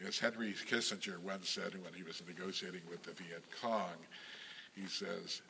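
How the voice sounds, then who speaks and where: An older man, a synagogue president, talking in a measured, halting way with frequent pauses and self-corrections. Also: deep voice, fairly even intonation, creaky, sharp tone, a little hiss or hum. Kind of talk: recorded interview reminiscence.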